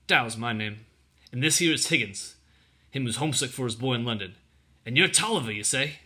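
A man's voice: mid-range voice, expressive, a little fast, pushed and bright, breathy edge. A man voicing a fat, greedy, bullying British soldier in a children's storybook, with grunting, snarling, rustic old-fashioned speech. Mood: gruff, teasing.

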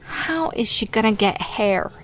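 A woman's voice: medium pitch, expressive, a little slow, close to an everyday voice, poor audio. An actress playing an earnest woman nearing forty in audio drama dialogue. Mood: excited, coy.

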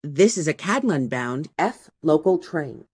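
A woman reading scripted train announcements in a measured, formal, segmented way. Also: sits low, animated, a little mellow, husky. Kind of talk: scripted transit announcement, spliced clips.

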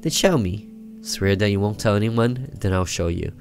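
A man's voice: fairly low pitch, moderate pace, soft background music, lightly acted. A man voicing a protective but evasive boy, an older brother in a horror visual novel, explaining earnestly and defensively.

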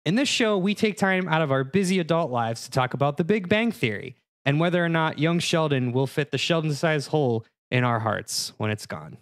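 A man's voice: a little high, expressive, brisk, hardly any pauses, no echo, studio quality. A man hosting a podcast, introducing the show in a casual, conversational style. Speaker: podcast host.

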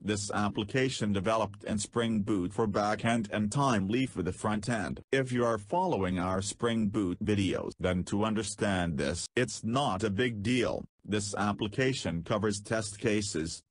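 Tutorial narrator: man, low husky voice, terse step-by-step delivery, dry close recording. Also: a little slow, hardly any pauses, dry recording. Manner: terse, step-by-step instructions with non-native phrasing. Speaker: programming tutor.

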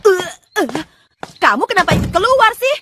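Whiny, high-pitched cartoon schoolboy. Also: female voice, very animated, normal speed, background noise. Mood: flustered. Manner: whiny, exclamatory. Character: whiny, dramatic young schoolboy, lazy and easily flustered. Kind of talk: Indonesian-dubbed children's cartoon acting.

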